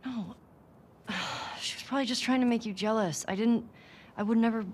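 A woman's voice: a little high, a little fast, darkish tone, a little hiss or hum, lightly acted. An actress playing a guarded, sardonic young woman survivor in a naturalistic video game, speaking in a casual, dry, sweary mumble. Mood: awkward, flustered.

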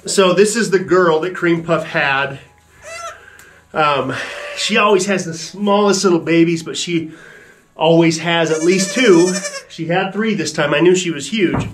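A man in his forties calmly explaining his goats to camera inside a wooden barn. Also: a little high, unhurried, a little hiss or hum. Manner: relaxed, chatty, matter-of-fact. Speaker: ranch owner and vlogger. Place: wooden barn goat pen.

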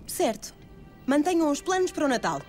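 A woman with a European Portuguese accent voicing a principled, caring young woman in an animated film dub. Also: high-pitched, fairly quick. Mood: earnest, firm. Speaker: fan dub voice actor. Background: music.

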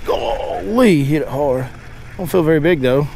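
A man in his thirties casually narrating a fishing trip from a boat, folksy and excitable, talking to his dog and the fish. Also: a little high, unhurried, creaky, slight background noise. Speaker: fishing guide and channel host. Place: outdoors on a boat on a lake.

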